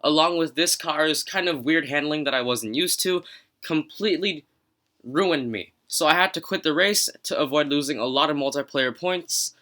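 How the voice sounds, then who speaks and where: Upbeat young gaming youtuber, bright voice. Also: male voice, a little high, normal speed. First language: Chinese. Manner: chatty, self-mocking, upbeat. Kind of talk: casual game commentary voice-over.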